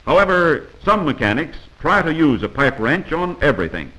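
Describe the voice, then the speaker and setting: A man's voice: a little high, very animated, moderate pace, sharp tone, rough recording. A man narrating a 1940s instructional film from a script, in an instructive, emphatic manner on a vintage film soundtrack.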